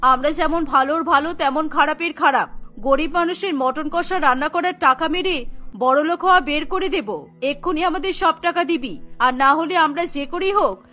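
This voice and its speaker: A woman's voice: high voice, moderate pace, bright, forceful tone, soft background music, pitched below the performer's own voice. A woman voicing a feisty, poor female cook in a cartoon, with an urgent, defiant manner. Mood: defiant, angry. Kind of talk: cartoon character acting.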